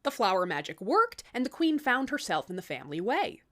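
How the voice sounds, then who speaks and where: A woman's voice: fairly high pitch, very animated, brisk, a bit bright. A woman telling a fairy tale in an irreverent, conversational way, with swearing and sardonic asides. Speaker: storyteller, series host.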